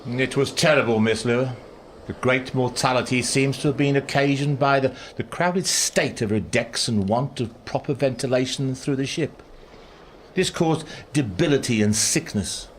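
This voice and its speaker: A man's voice: medium pitch, moderate pace, slight background noise, close to an everyday voice. A man playing a colonial doctor who gives formal, old-fashioned testimony in a radio play. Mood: disturbed. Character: shaken colonial doctor describing a fever ship.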